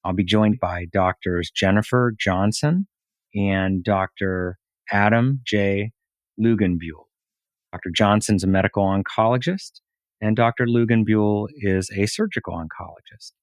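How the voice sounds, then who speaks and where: A man with a fairly low voice hosts an interview podcast. The sound is dry and close, with little room around it. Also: a little slow, dry recording. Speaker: podcast host.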